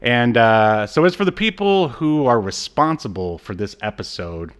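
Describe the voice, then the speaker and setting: Male co-host, casual and animated, reads notes conversationally and drops dry jokes. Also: mid-range voice, moderate pace, smooth. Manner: joking, wry asides. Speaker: podcast co-host. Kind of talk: casual chat, reading episode notes.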